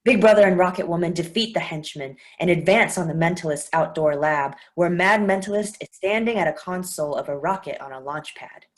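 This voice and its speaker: A woman with a fairly low voice reads stage directions in a play reading. Her delivery is even and clear, and she takes her time over each line. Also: fairly quick, hardly any pauses. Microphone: video call.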